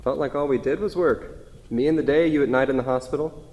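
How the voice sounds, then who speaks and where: A man's voice: mid-range voice, fairly quick, darkish tone, close to an everyday voice. Wistful husband read by a man, reverberant hall. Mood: wistful, tender. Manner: reminiscing, conversational. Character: Paul, wistful, self-deprecating, devoted husband on his anniversary. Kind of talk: staged reading of a stage play.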